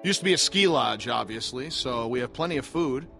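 A man's voice: mid-range voice, lively intonation, a little fast, vocal fry, pushed and bright, faint music behind. An actor playing a genial middle-aged man hosting survivors in a video game, polite and reassuring. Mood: welcoming, chatty. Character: genial, soft-mannered middle-aged male lodge host. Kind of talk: naturalistic video game voice acting.

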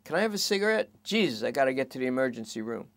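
A man voicing a self-pitying junkie thief who pleads and rambles under police questioning, in a noticeably higher voice than his own narration. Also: sing-song, very fast, no echo. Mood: pleading. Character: whiny petty-thief junkie man in custody.

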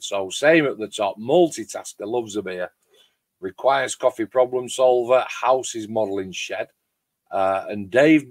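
A middle-aged man hosts a relaxed, rambling livestream chat. His voice is loud and fairly low. The sound is dry, with very little room echo. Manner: rambling, chatty. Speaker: host of a hobby club livestream. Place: home garage workshop shed.

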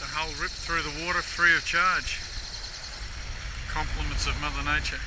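A middle-aged man at the helm of a sailboat, calmly describing the passage to a camera. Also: fairly high pitch, moderate pace. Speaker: skipper. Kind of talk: casual sailing vlog commentary. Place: outdoors on a sailboat at sea. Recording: loud wind noise outdoors.

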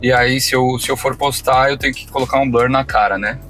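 A man in his thirties hosts a chat from a car, talking in an easygoing, slangy way. His voice sits at an ordinary pitch. Faint music plays behind him. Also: fairly even intonation, a little fast, sharp tone. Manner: easygoing, slangy, curious questions. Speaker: podcast host and rideshare driver. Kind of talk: casual chat. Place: parked car interior. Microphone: fixed dashboard camera.

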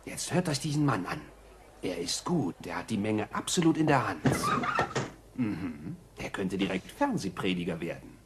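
An actor playing a scheming, imperious old cartoon tycoon with a raspy voice, oily and sardonic, in a German-dubbed audio play. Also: male voice, lowish voice, sing-song, moderate pace, background noise. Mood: sly, calculating.

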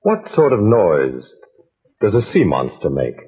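Deep-ish male announcer, dramatic and teasing, very lively intonation, tinny old radio sound. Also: unhurried, over loud music. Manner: theatrical, teasing rhetorical address. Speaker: announcer introducing stories. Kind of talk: dramatic scripted old-time radio narration. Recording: narrow-band vintage radio recording.

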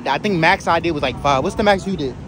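Excitable young man vlogging outdoors. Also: on the high side, quick pace. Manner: excitable, slangy, laughs often. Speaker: vlogger host. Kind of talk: casual vlog chat. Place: outdoors on a city pier and rooftop. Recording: noisy outdoor city street.

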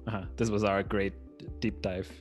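A man's voice: fairly low pitch, level tone, normal speed, faint music behind. A man with an Austrian accent, a native German speaker, chatting casually and reading aloud as co-host of a study livestream. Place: small room indoors. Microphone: microphone on a boom arm close to the mouth.

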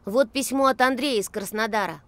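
A woman voicing a bright, curious young boy in an educational children's cartoon, clearly enunciated and inquisitive. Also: a little high, brisk, no room sound, natural voice. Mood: curious, keen. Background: music.

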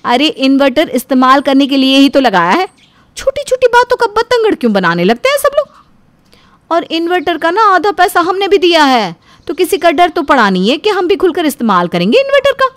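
A woman voicing a defiant, quarrelsome elder daughter-in-law in an animated family story, arguing indignantly. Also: sits high, a little fast, no echo, voice pushed up. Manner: argumentative, indignant.

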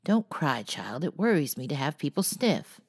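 A woman voicing a gruff, sharp-tongued old aunt who scolds and predicts the worst. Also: sits low, very animated, moderate pace, exaggerated voice. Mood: scolding.

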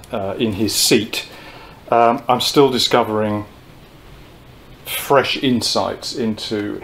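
Older man lecturing over background noise. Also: medium pitch. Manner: measured, explanatory, pausing mid-phrase. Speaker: presenter of technical talks on loudspeakers. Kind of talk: informal whiteboard lecture, reading quotes from notes. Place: indoor room at a whiteboard.

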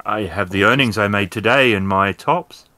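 A man's voice: fairly low pitch, normal speed, sharp tone, no room sound. Man playing a young townswoman, shaken, formal, hesitant, tabletop game character, dry sound. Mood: polite, bewildered.

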